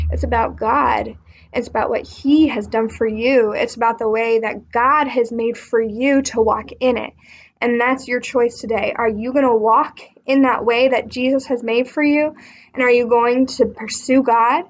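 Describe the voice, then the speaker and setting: A young woman teaching a children's Bible lesson, playful and animated, slipping into voices. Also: high-pitched. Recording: slightly dull recording with faint noise.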